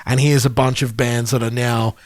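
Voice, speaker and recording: A man in his forties co-hosting a podcast, chatting easily and backing his partner up with quick yeahs. The sound is dry and close-miked with no room echo. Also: medium pitch, flat delivery. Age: 40s. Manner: agreeable, chatty, quick affirmations, laughs easily. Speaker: podcast co-host. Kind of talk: casual chat.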